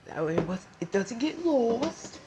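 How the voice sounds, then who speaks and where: A woman's voice: on the high side, sing-song, a little slow, noisy background. A woman casually chatting to viewers in an app tutorial, talkative and often dropping into a whisper.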